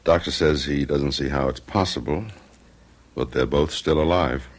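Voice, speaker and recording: An actor playing a somber, steady husband in a radio mystery drama, speaking gravely and haltingly. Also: male voice, extremely low pitch. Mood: somber, consoling. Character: somber, steady husband facing bad hospital news.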